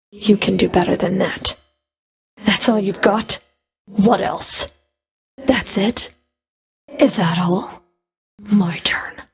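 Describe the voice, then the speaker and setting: A woman playing a stern, scornful female Fury in a video game, curt, cool and commanding, with a breathy edge to her voice. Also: mid-range voice. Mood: taunting. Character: stern, scornful female Fury, a whip-wielding underworld enforcer. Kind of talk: video game combat voice lines.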